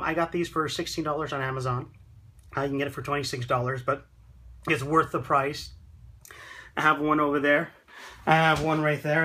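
A man giving a relaxed, chatty walkthrough of his tiny house build, talking into a handheld phone camera in a small wooden room. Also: a little high. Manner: relaxed, chatty, pointing things out. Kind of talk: casual walkthrough vlog.

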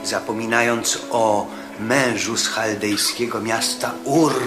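An actor playing a fervent apostle preaching solemnly to a Roman governor in a radio drama. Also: male voice, medium pitch, lightly acted. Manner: impassioned, solemn, declamatory. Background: music.

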